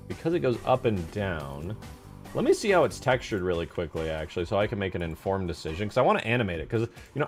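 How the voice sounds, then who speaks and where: A man giving casual, unscripted commentary as he works on a game mod, thinking aloud in informal, slangy phrasing. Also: on the low side. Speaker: game modder hosting a development stream.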